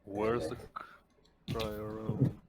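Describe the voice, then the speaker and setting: A man with a lowish voice takes part in a tabletop roleplaying game. He speaks casually, slipping between player talk and his character's lines. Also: little pitch movement, drawn-out words, background noise.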